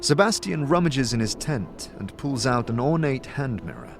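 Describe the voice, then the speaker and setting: A man narrating a dramatic fantasy story in the second person, with a theatrical, expressive delivery. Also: medium pitch, moderate pace, vocal fry. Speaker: narrator and game master voice. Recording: music behind the voice. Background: music.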